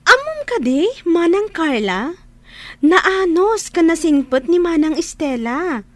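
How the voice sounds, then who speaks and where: A woman's voice: very high voice, very animated, slow, fairly bright tone, no room sound, exaggerated voice. An actress playing a respectful younger woman, a careful go-between, in an Ilocano radio drama. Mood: puzzled, curious.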